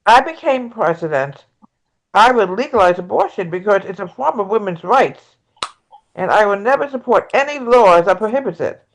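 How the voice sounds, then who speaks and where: An earnest progressive woman , acted by a woman, stands as a presidential candidate in a stage-play debate. She speaks at a middle pitch and stumbles a little, repeating phrases as she states her principles. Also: lively intonation, normal speed, lightly acted, poor audio. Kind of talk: amateur table read of a stage play.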